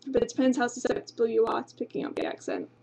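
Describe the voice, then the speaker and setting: A woman's voice: fairly high pitch, wildly swooping pitch, fast, vocal fry, dark tone, breathy edge. A young woman from England with an English accent slightly tinged Australian, chatty and informative, presenting a university webinar from a small office on a webcam. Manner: chatty and informative, frequent ums. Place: small office with banner behind. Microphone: webcam at desk.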